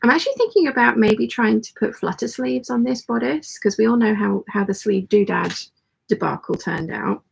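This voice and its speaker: Female livestream host, very creaky and husky, croaky delivery, loose chatty rambling style. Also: a little high, normal speed, low-quality recording. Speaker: host of a live sewing hangout. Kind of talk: casual livestream chat, reading out viewer comments.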